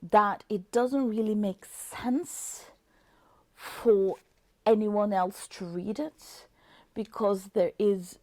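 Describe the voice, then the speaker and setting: A woman with a Norwegian accent talks through a book review at an unhurried pace. Her low, mellow voice carries a gravelly edge. The sound is close and dry, and she often stops to search for the right word. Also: steady tone, no echo. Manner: thoughtful, searching for words with many fillers. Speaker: book vlogger. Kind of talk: casual book review talk.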